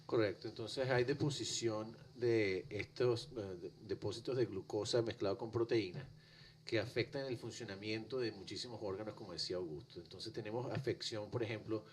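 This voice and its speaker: Guest cardiologist from Venezuela, man, low voice, measured delivery, faint hum behind. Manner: calm, measured medical explanation. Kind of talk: explanatory interview answers.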